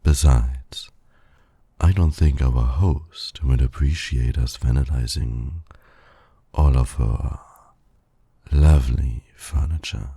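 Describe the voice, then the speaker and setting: A man playing a suave, flirtatious villain in an ASMR romance roleplay, teasing in silky, formal, condescending words with a gravelly, breathy voice. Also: a bass voice, slow, dark tone, no room sound, full character voice. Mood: teasing, smug. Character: suave male villain flirting with heroic nemesis.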